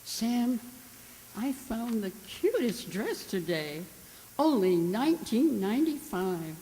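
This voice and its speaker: A woman's voice: a little high, sing-song, slow pace, dark tone. A woman playing an anxious, naive, girlish young wife in a melodramatic amateur radio drama. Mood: sheepish, chatty. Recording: echoey hall with background noise.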